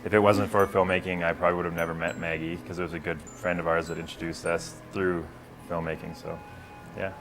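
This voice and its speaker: A man's voice: low-pitched, level tone, fairly quick, music in the background, rough recording. A man in his thirties, a filmmaker interviewed on a TV show, talking hesitantly, laughing and trailing off, on a lapel mic. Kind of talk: casual interview talk. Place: TV studio set.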